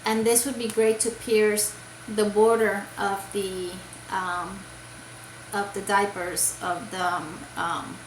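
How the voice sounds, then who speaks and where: A woman's voice: mid-range voice, little pitch movement, slow pace, slight background noise. Chatty woman vlogger in an echoey room. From Puerto Rico. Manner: rambling, many fillers and restarts. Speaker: crochet vlogger. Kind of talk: casual show-and-tell chat.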